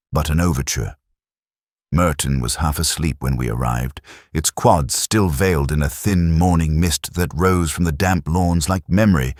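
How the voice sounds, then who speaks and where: A man playing a brooding, literary police inspector who narrates his case in a measured, reflective, melancholic way, as in a scripted audio drama. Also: very deep voice, no room sound, clean studio sound, exaggerated voice.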